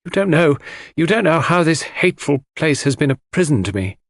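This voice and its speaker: A man's voice: a little high, big pitch swings, brisk, gravelly, dry recording, pitched above the performer's own voice, exaggerated voice. A man voicing a proud, cold and bitter Englishwoman with a cool, mocking, clipped manner in an audiobook. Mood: bitter, passionate.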